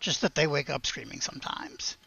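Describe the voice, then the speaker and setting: A game master narrating a tabletop roleplaying game in a halting way, searching for words. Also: medium pitch, very animated, vocal fry, pushed and bright, husky, muffled recording.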